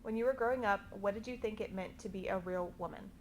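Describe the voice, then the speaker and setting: A woman's voice: mid-range voice, quick pace. Woman interviewer with faint room noise. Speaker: off-camera interviewer. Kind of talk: short interview questions.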